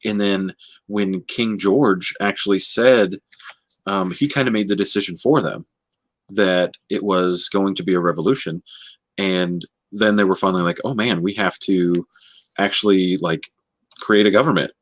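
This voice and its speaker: A man with a low voice in a close, dry recording. He talks casually as in a book club chat, pausing and rewording as he searches for the right phrase. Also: steady tone, a bit bright, no echo. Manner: halting, searching for words. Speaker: book discussion host.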